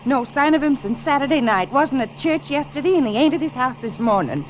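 A woman's voice: high voice, expressive, a little fast. A woman playing a nervous, insistent small-town doctor's assistant with an American accent in an old-time radio drama. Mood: worried, insistent. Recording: muffled, hissy 1950 radio recording.

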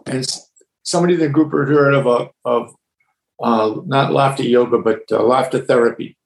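A man from the United States, a laughter yoga leader, talking as a guest in a relaxed way, with many restarts and easy laughs. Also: medium pitch, vocal fry, a little hiss or hum. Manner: relaxed storyteller, halting with restarts, laughs easily. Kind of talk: casual conversation. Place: home with household noises. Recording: echoey room.

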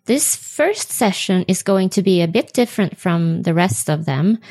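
A woman's voice: fairly low pitch, very animated, moderate pace, a little mellow. A woman in her forties with a Swedish accent, hosting an unedited solo podcast and talking with frequent ums and self-corrections. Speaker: podcast host.

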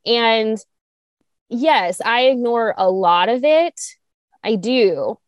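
A young woman co-hosting a talk show, speaking candidly with vocal fry, lots of fillers, and sharp jumps up in pitch when she makes a point. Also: takes it slowly, dry recording, highs cut off. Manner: animated, restarts and fillers, rises high when emphatic. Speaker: podcast co-host. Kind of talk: candid conversational chat.

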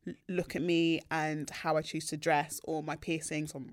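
Young British woman, podcast co-host, candid and animated, dry close mic. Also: deep voice, moderate pace, dry recording. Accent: British English. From Nigeria heritage, raised in Britain. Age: young adult. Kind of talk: casual podcast chat.

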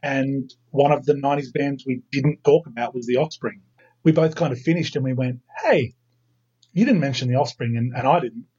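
A man's voice: medium pitch, normal speed, warm tone, slightly rough, highs cut off. A man in his thirties with an Australian accent, chatting as a podcast guest with self-deprecating humour. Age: 30s. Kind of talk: casual chat.

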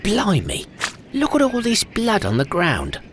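Woman doing a cheeky beggar lad, slow and bouncy, a bit off-mic. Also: mid-range voice, huge pitch swings, a little hiss or hum, voice pushed up. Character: cheeky cowardly young beggar boy.

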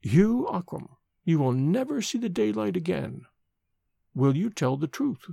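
Guy doing a super old stern nurse lady, slow and high and shaky, gravelly voice. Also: very animated, dark tone, no room sound, studio quality, full character voice. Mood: solemn. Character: ancient stern old nurse woman, sharp-tongued.

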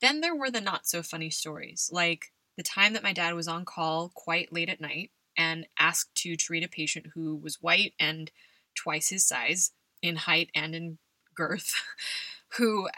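A woman's voice: on the low side, pushed and bright, no echo. A young adult woman from California hosting a podcast, telling personal stories conversationally and laughing often. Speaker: podcast host.

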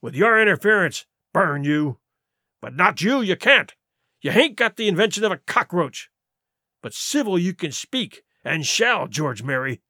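A man's voice: high-pitched, sing-song, measured pace, pushed and bright, dry recording, clean studio sound, full character voice. A man voicing a sly, domineering pirate sea cook in an audiobook, with blustering, derisive, rustic seafaring talk. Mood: angry, threatening. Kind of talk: audiobook character voice.

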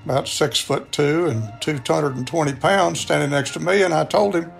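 A man's voice: a little high, normal speed, vocal fry, soft background music. An older man with a Texan accent telling a personal story in a folksy way, acting out the dialogue as he goes. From Texas, United States. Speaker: storyteller, radio show contributor.

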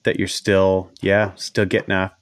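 A man with a New England accent hosts a casual podcast conversation. His voice sits very low, with a faint nasal whine. He rambles and restarts often in a dry, close recording. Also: monotone, measured pace, clean tone, no echo. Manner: rambling, hesitant, many restarts. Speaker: podcast host. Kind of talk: casual interview chat.

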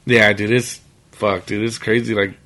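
A man's voice: lowish voice, little pitch movement, moderate pace, bright, forceful tone. A man in his late twenties, a podcast guest, talking casually and rambling, with lots of fillers and swearing. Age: 20s.

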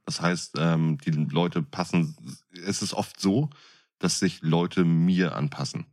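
A man's voice: low-pitched, slow, darkish tone. A man chats as a podcast co-host. He is blunt and opinionated, and he often restarts or repeats words in casual conversation. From northern Germany. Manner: blunt, opinionated, stumbling repetitions.

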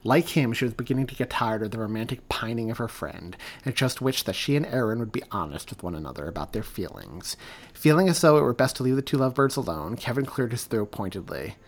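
A man, the author, reading his own story aloud in a steady, even audiobook narration. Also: medium pitch, fast.

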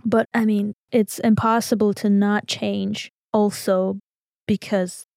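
A young woman from Armenia speaks English with an Armenian accent, her voice mellow and warm rather than bright. She chats in a relaxed, rambling way, leaning on fillers and laughing often. The sound is close and dry, with no room around it. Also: medium pitch, slow pace, creaky, no echo. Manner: chatty, lots of "like" and "you know", laughs easily. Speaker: guest singer-songwriter. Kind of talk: casual interview chat.

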